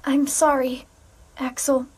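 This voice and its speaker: High-voiced, earnest young girl. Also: level tone, slow pace, background noise. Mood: pleading. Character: gentle, earnest teenage girl, wistful and devoted. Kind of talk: video game cutscene acting.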